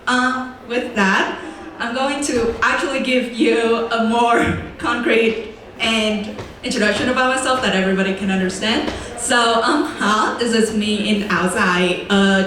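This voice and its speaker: A woman's voice: mid-range voice, steady tone, distant mic, background noise. Young woman presenting in a very echoey room. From Vietnam. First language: Vietnamese. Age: young adult. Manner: clear, deliberate, slows to stress key words. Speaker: student presenter. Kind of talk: prepared lecture presentation. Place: large echoey lecture room.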